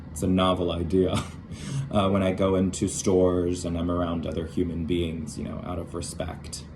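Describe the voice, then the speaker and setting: A man's voice: low voice, monotone, moderate pace, noisy background. A man casually hosting a comedic reading series, with dry, wry humor. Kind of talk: casual intro to a dramatic reading.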